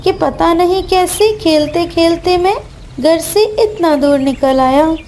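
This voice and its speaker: A woman's voice: very high-pitched, slow, darkish tone, a little hiss or hum. A woman voicing a small, innocent young male bird with childlike, pleading manner in a cartoon. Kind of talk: Hindi children's cartoon dialogue.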